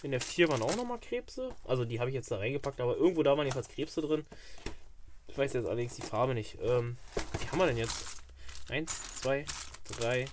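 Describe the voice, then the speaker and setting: A man's voice: mid-range voice, lively intonation, a little fast, background noise. A man hosting a casual fishing unboxing vlog, chatty and rambling as he thinks aloud, filmed indoors at a table on a camera mic. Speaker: fishing channel host.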